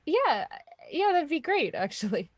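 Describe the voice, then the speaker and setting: A woman's voice: high-pitched, very animated, a little slow, dry recording. An actress playing an anxious young woman student, a hesitant but sarcastic younger sister. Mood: uneasy. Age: young adult.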